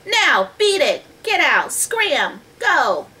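A woman's voice: medium pitch, sing-song, moderate pace, fairly bright tone, slightly rough, far from the mic. A woman voicing a nervous, bossy young boy in a storybook, emphatic and impatient. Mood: angry.

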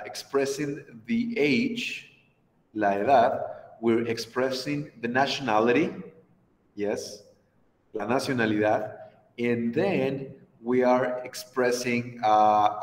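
Man, 30s, Mexican accent, encouraging teacher, video call, roomy, reverberant. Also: mid-range voice. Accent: Mexican Spanish. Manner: patient, encouraging, switches to Spanish to explain. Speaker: English teacher. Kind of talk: online English class. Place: home room on a video call.

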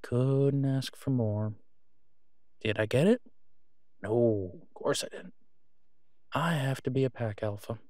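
Guy acting as awkward werewolf pack leader in an audio rp, low key and hesitant, mid pitch, really dry sound. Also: slow pace, long pauses, darkish tone, no room sound, natural voice. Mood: weary, exasperated. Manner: hesitant, casual, understated. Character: reluctant, awkward male werewolf pack alpha. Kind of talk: naturalistic audio roleplay acting.

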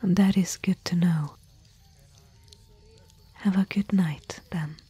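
A woman playing a thoughtful, bookish expedition companion in gentle, musing, whispery ASMR roleplay. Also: lowish voice, fairly even intonation, takes it slowly, no room sound, full character voice. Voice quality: breathy, whispery. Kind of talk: soft-spoken ASMR roleplay.

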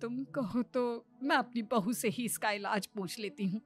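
A woman's voice: on the high side, moderate pace, over loud music, lightly acted. A woman playing a gullible, gossipy middle-aged mother-in-law in a moral-story cartoon, easily dismayed and given to complaining. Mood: worried.

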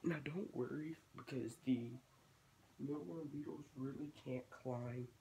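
Young guy with a gravelly, stuffy voice. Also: mid-range voice, fairly even intonation, a little slow. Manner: rambling, thinking aloud while working. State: stuffy with a cold. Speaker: reptile-keeping vlogger. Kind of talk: casual hobby vlog. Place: small bedroom, indoors. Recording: noisy home webcam recording.

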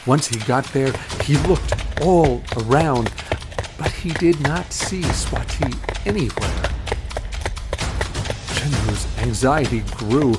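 A man's voice: medium pitch, lively intonation, takes it slowly, over loud music. A man narrating an audio drama as a scripted, third-person story.

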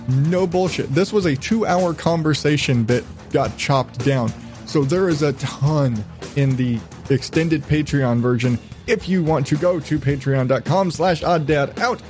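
A man's voice: on the high side, unhurried, a little mellow, soft background music. A man hosting a podcast in casual, profane chat, rambling along with irreverent, self-mocking asides. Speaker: podcast host.

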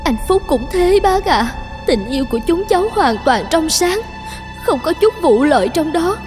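A woman playing a gentle, humble young woman in love in a cartoon drama, hesitant and deferential. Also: very high-pitched, big pitch swings, normal speed. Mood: anxious, pleading. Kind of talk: dubbed cartoon drama acting. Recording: long reverb, music behind.

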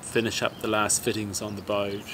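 A man's voice: on the low side, normal speed. A man hosting a sailing vlog, chatting casually outdoors by a seaside harbour. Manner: relaxed, chatty, thinking aloud. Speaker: vlog host. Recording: loud outdoor noise.

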